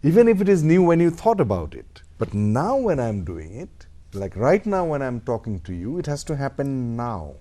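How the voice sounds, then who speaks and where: Middle-aged Indian actor, Indian English accent, lively pitch, warm dark tone, reflective interview guest. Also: male voice, moderate pace. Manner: thoughtful, animated, acts out little quoted exchanges. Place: radio studio.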